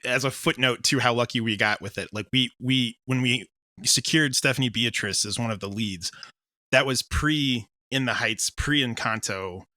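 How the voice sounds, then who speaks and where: Male guest, conversational, sharp bright voice, very dry recording. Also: medium pitch, moderate pace, dry recording. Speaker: audio drama creator and director, interview guest. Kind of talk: casual interview chat.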